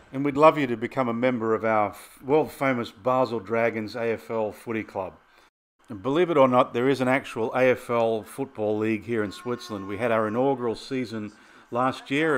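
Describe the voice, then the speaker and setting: A man's voice: mid-range voice, fairly even intonation, brisk. A middle-aged Australian man hosting a travel vlog, casually giving practical tips.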